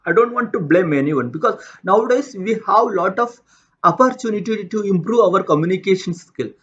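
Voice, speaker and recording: A man with a Tamil accent, a native Tamil speaker from India, talking about his own life in a halting way, with many restarts and repeated words. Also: sits high, expressive, a bit bright. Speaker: motivational vlogger. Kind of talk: unscripted personal talk. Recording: dull lo-fi sound.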